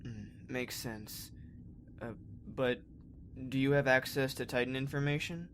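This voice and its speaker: A man's voice: medium pitch, fairly even intonation, measured pace, fairly bright tone, slight background noise, close to an everyday voice. A man playing an earnest, curious young space trainee in a sci-fi fan parody, talking in a casual, hesitant way. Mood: thoughtful.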